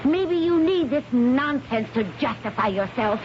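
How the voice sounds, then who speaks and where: An American actress playing a proud, sharp-tongued, anxious wife in a 1970s radio drama. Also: female voice, sits high, lively intonation, measured pace. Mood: indignant, bitter. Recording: muffled, noisy old radio broadcast.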